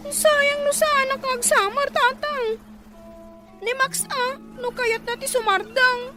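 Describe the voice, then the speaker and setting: A woman's voice: very high-pitched, steady tone, slow, music in the background. A woman playing a plaintive young member of a farming family in a radio drama. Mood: defensive, pleading.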